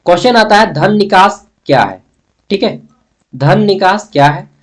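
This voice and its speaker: A man's voice: sits high, animated, normal speed. A man with a Jharkhand Hindi accent giving an informal exam-prep lesson as a teacher, conversational, repeating key terms and answering his own questions. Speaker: history teacher.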